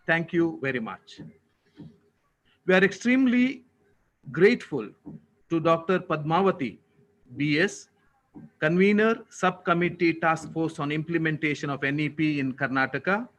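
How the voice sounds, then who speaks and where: A man with an Indian accent giving a formal, prepared thank-you speech as a college official, with deliberate, ceremonious and courteous phrasing. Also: a little high, a bit bright, poor audio.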